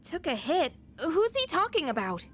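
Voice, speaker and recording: Game casting: caring, excitable schoolgirl, high-pitched and very expressive. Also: normal speed, a little hiss or hum, exaggerated voice. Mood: puzzled, gentle. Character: caring, excitable teenage girl.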